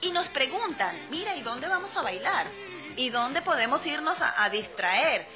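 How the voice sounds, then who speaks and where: A woman's voice: high voice, very animated, normal speed, over music. A woman with a Venezuelan accent hosting a radio show, chatting casually and playfully, laughing often as she talks to her listeners. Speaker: radio host. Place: radio studio.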